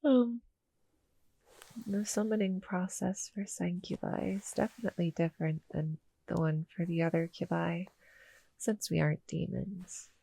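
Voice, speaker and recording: A soft, breathy cuddle monster girl played by a woman, speaking slowly and close to the mic in a dry, intimate ASMR roleplay. She is small, sweet and soothing, a little hesitant, and often slips toward a whisper. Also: lowish voice, steady tone, no echo, full character voice, poor audio. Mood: hesitant, explaining. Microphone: close, dry studio-style mic.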